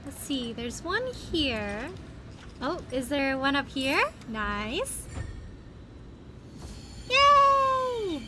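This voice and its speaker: High-pitched, animated woman streaming a game. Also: very slow, long pauses, noisy background. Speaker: livestream host. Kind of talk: casual game livestream commentary. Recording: game music under the voice. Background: video game music.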